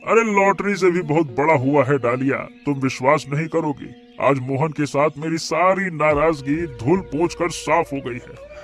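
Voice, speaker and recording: A village hotel owner in a cartoon story, voiced by a man, full of remorse and pleading emotion. His voice sits a little high, with soft music under it. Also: a little slow, natural voice. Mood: cheerful.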